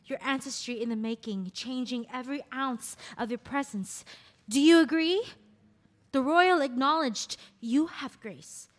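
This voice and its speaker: A woman reading the part of a graceful, poetic Khmer court dancer in a stage play, with a lyrical, measured delivery. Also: high-pitched. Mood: earnest, affectionate. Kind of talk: stage play table read.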